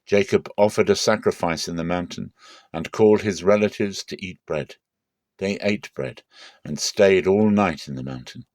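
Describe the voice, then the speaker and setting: A man narrating a scripted, dramatic Bible reading in a measured, solemn storytelling manner. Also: low voice, a little mellow, dry recording.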